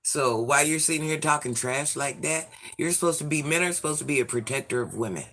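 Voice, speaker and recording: A man in his forties, hoarse from illness, chatting sassily with viewers on a livestream and reading out their comments. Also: on the high side, quick pace, bright, forceful tone. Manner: sassy, chatty, addresses viewers by name. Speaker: livestream host.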